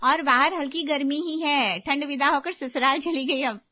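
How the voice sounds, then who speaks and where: A woman voicing a breezy young college-going sister-in-law in an animated family story. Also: high-pitched, moderate pace, highs cut off, natural voice.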